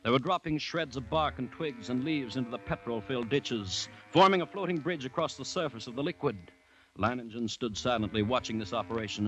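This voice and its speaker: A man acting an anxious commissioner who narrates a 1940s radio drama in a measured, dramatic way full of dread. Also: medium pitch, a bit bright, lightly acted, low-quality recording. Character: anxious male government commissioner recounting a dreadful siege. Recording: restored 1940s radio broadcast.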